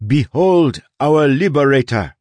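Man voicing an urgent, stern sailor woman, pitched up. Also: animated, slow, a little mellow, no room sound. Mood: insistent. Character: stern, straightforward woman sailor, pleading and conspiratorial.

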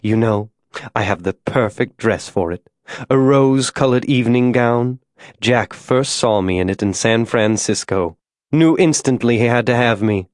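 A man's voice: mid-range voice, unhurried, no room sound, studio quality, lightly acted. A man narrating an audiobook, voicing a proud, genteel frontier wife who is stubbornly waiting, in a polite, wistful, chatty manner. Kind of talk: audiobook character dialogue.

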